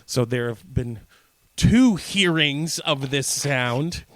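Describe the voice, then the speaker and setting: A man's voice: medium pitch, lively intonation, takes it slowly, a bit bright, no echo. Man bantering, dry close sound. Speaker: co-host of a community radio show. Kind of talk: casual local-news banter.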